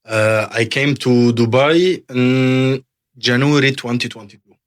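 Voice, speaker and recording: A man's voice: mid-range voice, a little slow. A man in his thirties from Lebanon, a podcast guest in real estate sales, talking casually with repetitive, emphatic phrasing in English.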